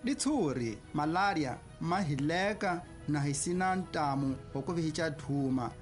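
A man's voice: a little high, lively intonation, measured pace, faint music behind. An actor playing a villager in a radio drama spot who explains his situation conversationally and thanks his neighbor. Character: villager grateful for his mosquito net.

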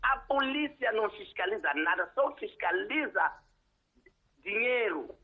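A man's voice: sits high, animated, a little slow, breathy edge. A man with a Mozambican Portuguese accent, a non-native speaker, calling in to a radio show and speaking hesitantly, then emphatically. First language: a local language, not Portuguese. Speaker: caller. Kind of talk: call-in radio comment. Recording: tinny phone line.

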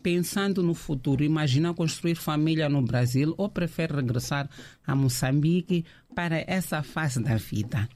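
A man's voice: a little high, normal speed, darkish tone. Man asking polite interview questions. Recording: faint music in background.

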